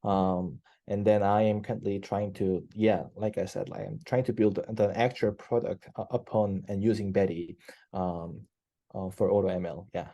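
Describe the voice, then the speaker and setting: A man's voice: on the low side, level tone, moderate pace, mellow, lo-fi sound. A young man in his twenties from South Korea with a Korean accent, answering interview questions hesitantly over a headset video call, with many fillers. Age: 20s. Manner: hesitant, many fillers and restarts, laughs easily. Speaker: PhD student guest. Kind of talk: casual interview chat. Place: office, indoors.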